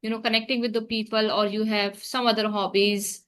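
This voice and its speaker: Bright woman asking questions. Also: medium pitch, flat delivery, fairly quick, clear, resonant tone. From India. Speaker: interviewer. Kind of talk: casual conversation.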